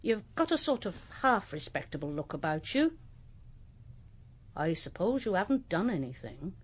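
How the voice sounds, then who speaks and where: A woman's voice: lowish voice, very animated, normal speed, breathy edge. An actress playing a kindly, plain-spoken old country landlady in a radio drama, with an English accent and a homely, good-humoured, matter-of-fact manner. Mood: frank, kindly. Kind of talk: naturalistic radio drama acting.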